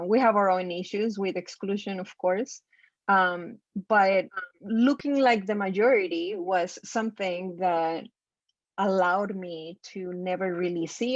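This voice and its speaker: A woman from Costa Rica with a Spanish accent, a design professor speaking thoughtfully and haltingly on a video call panel. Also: mid-range voice, no echo. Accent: Costa Rican Spanish. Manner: thoughtful, halting, many fillers and restarts. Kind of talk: roundtable discussion. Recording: dull lo-fi video call audio.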